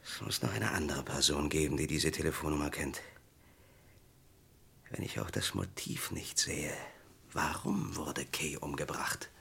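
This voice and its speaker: An actor playing an anxious, desperate man accused of murder in a German radio crime drama, with a gravelly, husky voice. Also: very deep voice, unhurried, sharp tone, heavily acted. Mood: resigned.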